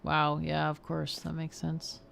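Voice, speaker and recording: A woman's voice: deep voice, monotone, unhurried, vocal fry, fairly bright tone, a little hiss or hum. A woman hosting a game livestream, chatting casually and frankly as she plays and talks with guests. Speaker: livestream host playing a game. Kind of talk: casual live game commentary and chat.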